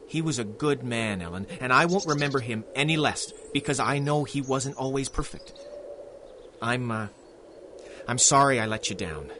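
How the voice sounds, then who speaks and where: A man's voice: mid-range voice, normal speed, noisy background, lightly acted. A man playing a resolute young police constable in a 1930s-style radio drama. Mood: tender, earnest.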